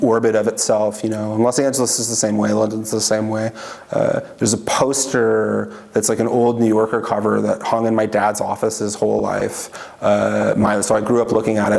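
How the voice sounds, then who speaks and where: A man's voice: on the low side, vocal fry, darkish tone. A man in an oral history interview, talking casually and rambling with many fillers and restarts. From Washington state.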